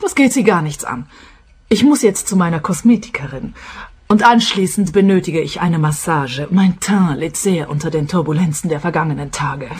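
An actress playing a vain, haughty wealthy wife, snobbish and dismissive, in a detective radio play. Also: female voice, lowish voice, normal speed, a little mellow, close to an everyday voice.

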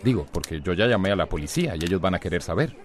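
A man's voice: sits low, lively intonation, very fast, a crowd in the background. An actor playing an insistent, concerned male neighbour in a radio soap opera.

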